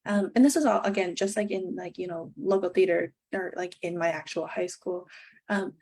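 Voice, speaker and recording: Quiet-spoken woman filmmaker, gravelly fry, halting and candid interview talk. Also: lowish voice. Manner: halting, many fillers, candid. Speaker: filmmaker guest. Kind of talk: casual remote interview.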